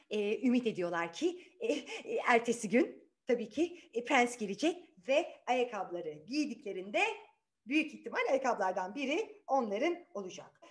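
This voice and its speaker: Woman, children's storyteller, high pitch, highly animated intonation, conversational, questioning asides. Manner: conversational, addresses listeners with questions. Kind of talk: animated, informal fairy-tale storytelling.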